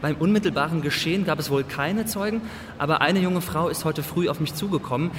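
A man's voice: on the high side, fairly quick, natural voice. An actor playing a male radio field reporter delivering a live news report in a radio drama. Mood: earnest, brisk. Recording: noisy outdoor report, some reverb, traffic.

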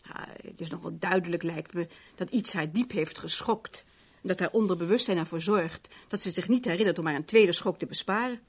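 An actress playing a sceptical, protective assistant in a 1970s radio play, dry and outspoken. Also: female voice, on the low side, brisk, breathy edge. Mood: earnest warning.

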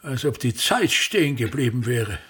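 A man's voice: mid-range voice, very animated, normal speed, a bit bright. An older man acting a pompous, grumbling old state actor in a radio play, declaiming theatrically. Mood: disdainful.

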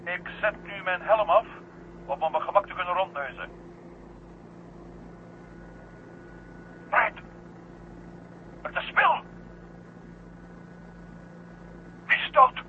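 An actor playing an alert, urgent astronaut on a lunar mission in a 1970 radio drama, heard through a thin, noisy link. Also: male voice, sits high, expressive, normal speed. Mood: agitated. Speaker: actor in a science fiction radio serial. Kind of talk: 1970 Dutch-language radio drama acting. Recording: tinny phone-like radio link, hum and noise.

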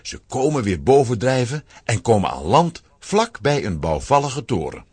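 Husky, expressive Flemish male storyteller. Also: mid-range voice, moderate pace. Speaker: narrator. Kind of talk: scripted storytelling narration for a children's audio drama.

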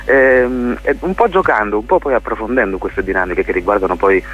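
A man being interviewed on radio as a playwright, speaking reflectively and often searching for his words. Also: lowish voice. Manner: thoughtful, halting, searching for words. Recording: muffled radio interview with hum and hiss.

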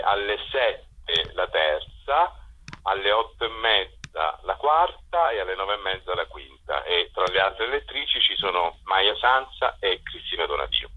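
Low, sharp, husky man on phone. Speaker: translator being interviewed. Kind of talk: casual interview answers. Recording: dull phone call, lo-fi radio sound.

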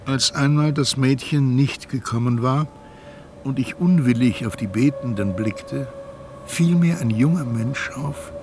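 A male actor plays a doomed fat man in a literary radio play. He speaks slowly and formally, with solemn resignation and careful articulation. A faint noise lies behind the voice. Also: medium pitch, lightly acted. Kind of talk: literary radio drama monologue.

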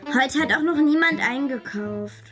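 A female performer playing a bright, persistent young daughter with a direct, probing manner in a children's radio play. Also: sits high, unhurried, over music. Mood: matter-of-fact. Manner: direct, probing, matter-of-fact. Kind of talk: German children's radio drama dialogue.

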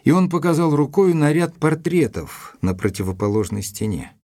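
An actor playing a sober gentleman storyteller, narrating an audio drama in a measured, literary way. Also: fairly high pitch, big pitch swings, dry recording, clean studio sound.

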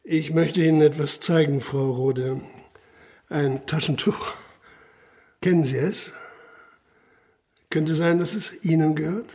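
An actor playing a dogged police inspector in a historical radio drama, measured, dry and insistent, with a husky voice. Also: male voice, a little high, a little mellow, exaggerated voice. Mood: probing, insistent. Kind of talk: radio drama dialogue and sober first-person narration.

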